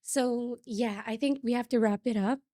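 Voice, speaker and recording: A woman's voice: on the high side, steady tone, moderate pace, dark tone, no room sound, studio quality. A Filipino woman with a Filipino accent hosting a podcast interview, effusive and chatty, slipping Tagalog words into her English while she is losing her voice. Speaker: podcast host. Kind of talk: casual interview chat.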